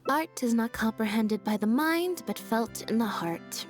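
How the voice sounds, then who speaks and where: A woman voicing a laid-back, deadpan young stage magician's assistant in a video game, speaking in an unhurried, matter-of-fact way. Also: on the high side. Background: game background music.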